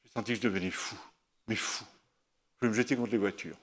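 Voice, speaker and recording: A man voicing a troubled, halting patient. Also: fairly low pitch, fairly even intonation, pitched below the performer's own voice, natural voice. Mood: subdued. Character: troubled male patient recalling breakdown.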